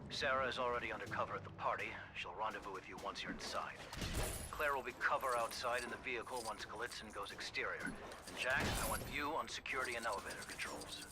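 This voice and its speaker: A man playing a composed, middle-aged spy team leader giving measured, businesslike mission briefings in a video game. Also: medium pitch, noisy background, lightly acted. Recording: loud music behind the voice. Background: loud music.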